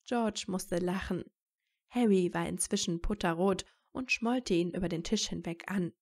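A woman's voice: lowish voice, a little slow. A woman reading scripted narration as the narrator of a fan fiction story.

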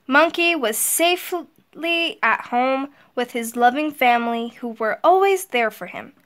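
High-pitched woman, talking to children. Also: expressive, fairly bright tone. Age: middle-aged. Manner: deliberate, simple explaining to children. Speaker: elementary guidance counselor. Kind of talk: children's storybook read-aloud and talk to pupils. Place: indoors, small room at home. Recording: roomy echo, distant mic.

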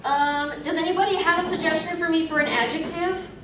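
In an echoey room, picked up from far off, a woman plays an anxious young anime character. The voice is high, hesitant and fretful, as if gloomy about everything. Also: steady tone, a little fast, a bit bright, slight background noise. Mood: bewildered. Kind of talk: in-character cosplay panel. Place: convention panel room on handheld PA mic, filmed from afar.

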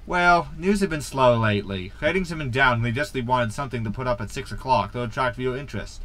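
An actor playing a glib, cocky impostor space captain in a sci-fi comedy audio drama, breezy and matter-of-fact. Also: male voice, medium pitch, quick pace, a bit bright, close to an everyday voice.

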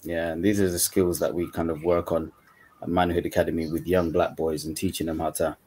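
Very deep-voiced man on a video call. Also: flat delivery, fairly quick, darkish tone, husky. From Uganda. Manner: conversational, frequent "you know" fillers. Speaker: guest mentor. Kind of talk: casual panel discussion. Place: dim room indoors.

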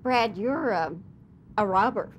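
A woman's voice: mid-range voice, animated, slow, slight background noise. Chuckling woman asking interview questions. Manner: warm, chuckling, complimenting. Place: hotel suite indoors.

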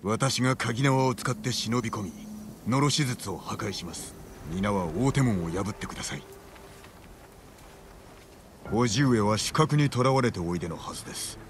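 Low-voiced, resolute samurai. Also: male voice, animated, normal speed, noisy background. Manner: formal, restrained, determined. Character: earnest, resolute young samurai leader. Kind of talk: dramatic game dialogue. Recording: clear outdoor ambience behind voice.

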